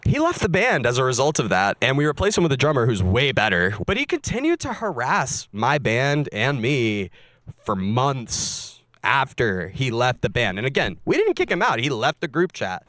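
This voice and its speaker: A man's voice: medium pitch, huge pitch swings, normal speed, fairly bright tone, no room sound, clean studio sound. Candid, wry young man narrating. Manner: candid, wry and self-mocking. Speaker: streamer and musician. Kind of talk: personal narration to camera.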